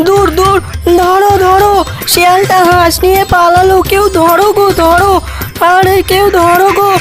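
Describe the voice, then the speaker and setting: An anxious farmer's wife in a village cartoon, played by a woman, with an extremely high voice. She is plaintive and emotive, with faint music underneath. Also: level tone, normal speed. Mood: alarmed.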